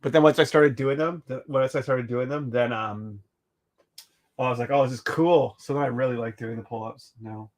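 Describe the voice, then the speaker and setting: A man's voice: medium pitch, steady tone, normal speed. Australian man, laid-back art vlogger, rambly, filler-heavy, swears offhand. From rural Queensland, Australia. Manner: rambling, full of "like" and "um", swears casually. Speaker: artist vlogger. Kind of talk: casual chat while painting.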